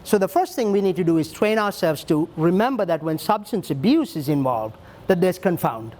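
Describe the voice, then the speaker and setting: A man with a fairly high voice speaks earnestly at a breakfast Q&A, taking his time and thinking aloud. Ums and restarts run through his answers. He sounds like a middle-aged welfare leader explaining his work. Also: expressive, moderate pace, a little mellow. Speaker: child welfare leader answering audience questions. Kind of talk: panel Q&A, conversational.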